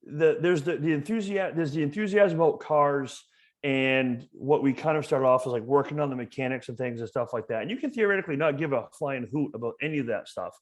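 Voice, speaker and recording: A man's voice: mid-range voice, fairly quick. Passionate, emphatic man from Maine. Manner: passionate and emphatic, repeats words while building a point. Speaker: museum educator, podcast guest. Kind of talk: casual conversational interview.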